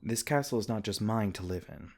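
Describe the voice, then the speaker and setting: A man playing a gentle, protective male fae king in a comforting ASMR roleplay, soft-spoken and reassuring. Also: lowish voice, animated, fairly quick.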